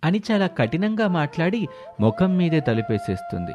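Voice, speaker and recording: A man's voice: a little high, big pitch swings, moderate pace, highs cut off. A man reading scripted narration of a family moral story as the storyteller.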